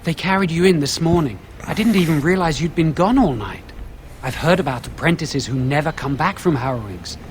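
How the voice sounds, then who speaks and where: Male actor as young nervous mage apprentice in a game, earnest, bit high voice, faint background noise. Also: animated, fairly quick, natural voice. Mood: concerned. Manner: earnest, worried, slightly wounded. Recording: game dialogue over faint ambient noise.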